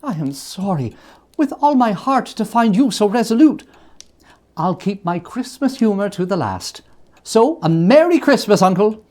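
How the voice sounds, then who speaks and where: A reader voicing a cheerful young nephew in a storybook reading, warm-hearted, earnest and pleading. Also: medium pitch, big pitch swings, measured pace, pitched above the performer's own voice. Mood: earnest good cheer.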